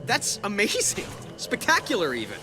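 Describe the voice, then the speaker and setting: Young superhero guy, jokey banter, lively high voice, game music under it. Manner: joking, quick banter. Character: young wisecracking male superhero photographer. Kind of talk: video game cutscene acting. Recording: game audio with loud music.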